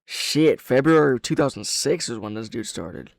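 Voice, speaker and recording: A man's voice: mid-range voice, animated, normal speed, creaky, no echo. A man hosting a casual reaction commentary, thinking aloud with plenty of slang and swearing. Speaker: reaction video host.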